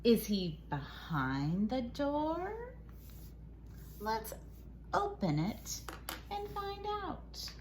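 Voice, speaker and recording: Very animated woman reading to children, noisy recording. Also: on the high side, drawn-out words, pauses often. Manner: exaggerated, sing-song, with dramatic gasps and questions to the child. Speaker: educator reading a picture book aloud. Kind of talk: animated children's read-aloud, modeling core words. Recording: loud noise behind the voice.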